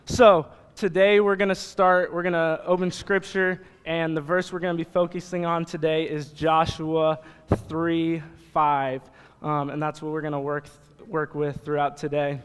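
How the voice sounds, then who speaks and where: A man's voice: fairly high pitch, flat delivery, a little slow, smooth. A young American man, a youth pastor from Nebraska, preaching informally to a congregation in a casual, joking, conversational way. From Nebraska, United States. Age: young adult. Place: church hall, speaking to congregation. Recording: reverberant hall sound.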